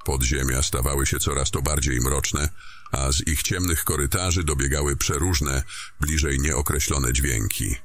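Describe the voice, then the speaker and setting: A man narrating a scripted fantasy story in a descriptive, deliberate storytelling manner. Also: rumbling low.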